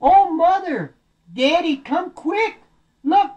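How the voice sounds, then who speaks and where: A tearful little baby bear wails in a very high, squeaky voice. The words are drawn out and whiny, as in a storybook read to children, on a dull lo-fi recording. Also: animated, slow, a little mellow, heavily acted. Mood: distressed wailing. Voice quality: squeaky, wailing.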